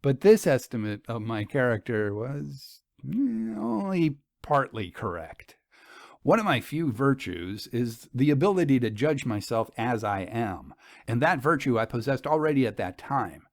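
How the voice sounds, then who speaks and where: A man narrating a first-person story in a measured, formal, old-fashioned manner. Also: medium pitch, very animated, gravelly, dry recording, studio quality.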